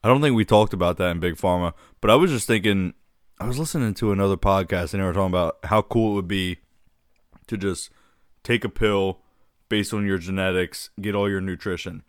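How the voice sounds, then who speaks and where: Deep-voiced chill podcast guy, dry close sound. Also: a little fast, smooth, no room sound. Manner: laid-back, calls his co-host dude. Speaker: podcast co-host. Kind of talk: casual podcast chat.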